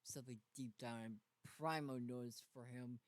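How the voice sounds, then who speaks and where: A man with a medium-pitched voice narrates a story in a dramatic style. His delivery is halting, with small stumbles over the text. The sound is dry, with very little room in it. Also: fairly even intonation, no room sound. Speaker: fan fiction reader.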